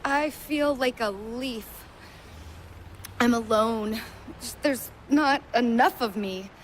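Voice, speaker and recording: An actress playing an anxious, self-pitying, fragile young woman in a live stage play, speaking haltingly and putting herself down. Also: fairly high pitch. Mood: despairing. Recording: faint noise, live theater stage.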